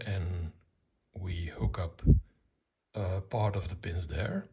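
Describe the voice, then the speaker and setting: Low, husky, gravelly older man. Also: darkish tone. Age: middle-aged or older. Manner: matter-of-fact, hesitant. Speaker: electronics hobbyist presenter. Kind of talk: unscripted technical demonstration.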